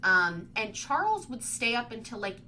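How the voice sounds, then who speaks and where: A woman's voice: on the high side, very animated, normal speed, pushed and bright, a little hiss or hum. A woman in her twenties, co-hosting in casual chat, talking loosely with lots of "like" and a stuffy, congested sound. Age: 20s. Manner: chatty, fills speech with "like". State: congested from sinus trouble. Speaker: podcast co-host.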